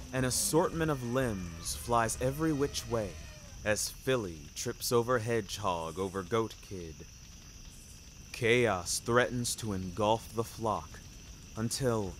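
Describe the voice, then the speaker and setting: A man's voice: lowish voice, slight background noise. A man narrating a scripted first-person story in a wry, florid, dramatic manner. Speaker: narrator of a fiction podcast. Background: music under the voice.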